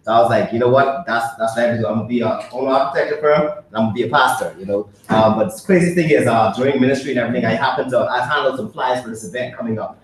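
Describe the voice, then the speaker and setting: A young man from the Bahamas with a Bahamian accent, telling an excited, laughing story at a business meeting. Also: mid-range voice, racing, hardly any pauses, a little hiss or hum. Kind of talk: testimonial talk at a business meeting. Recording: echoey hall from far off.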